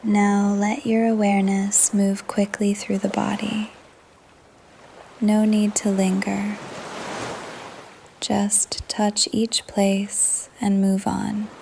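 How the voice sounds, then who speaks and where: Very slow, hushed woman guiding meditation. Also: medium pitch, slight background noise. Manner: soft, breathy, often trailing into whisper; calm, soothing, gentle pauses. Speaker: guided meditation teacher. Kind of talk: guided meditation, yoga nidra narration. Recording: ocean surf under the voice. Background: ocean waves ambience.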